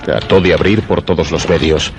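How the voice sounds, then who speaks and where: A man narrating a scripted suspense radio play in a measured, literary storytelling manner. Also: rumbling low, muffled recording. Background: music under the voice.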